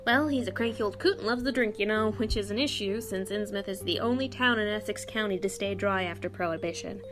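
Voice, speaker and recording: A woman's voice: medium pitch, brisk, music in the background, close to an everyday voice. A woman playing a chatty, outspoken young store clerk in a radio play, talking in a folksy, slangy, confiding way. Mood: friendly, confiding.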